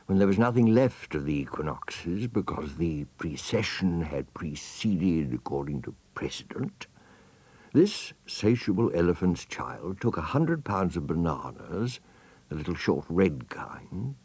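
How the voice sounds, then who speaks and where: A man's voice: deep voice, sing-song, measured pace, a little mellow, slightly rough, dry recording. A man narrating a children's storybook theatrically, savouring the words and addressing the listener directly. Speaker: audiobook narrator.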